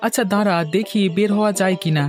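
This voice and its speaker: A woman voicing a timid, obedient village child in an animated folk tale, speaking in a hesitant, plain way. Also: medium pitch, fast talker, soft background music, lightly acted. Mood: wary.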